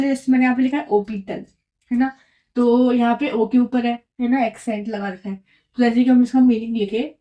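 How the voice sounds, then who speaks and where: A woman teaches in a patient, repetitive way, pausing often to check that the listener follows. Her voice sits a little high, yet its tone is mellow and unforced. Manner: patient, repeats and checks in with "right?". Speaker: French teacher. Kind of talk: explaining a lesson at a whiteboard.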